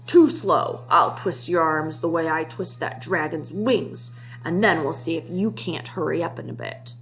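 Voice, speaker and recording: A woman voicing a bullying, impatient, flea-bitten male gorilla in a children's storybook, gruff and exasperated. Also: low voice, unhurried, faint music behind, pitched below the performer's own voice, rough recording. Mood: menacing. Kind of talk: children's storybook read-aloud. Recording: clear background noise with hum.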